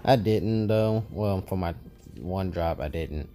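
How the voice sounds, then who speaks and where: Gaming YouTuber, male, deep dark voice, laid-back rambling commentary. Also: takes it slowly. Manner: relaxed, rambling, self-correcting. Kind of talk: casual gameplay commentary.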